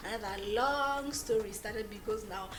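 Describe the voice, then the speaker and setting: A woman in her forties from Kenya, telling her story as a guest in an interview, pausing and restarting her phrases often. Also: on the high side, roomy sound, faint music behind. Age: 40s. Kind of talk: conversational interview.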